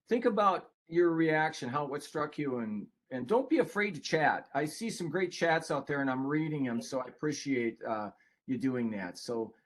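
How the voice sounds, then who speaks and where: An older man presenting a webinar in a casual, conversational way, with a folksy, rambling manner that often interrupts itself. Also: mid-range voice. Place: online video call.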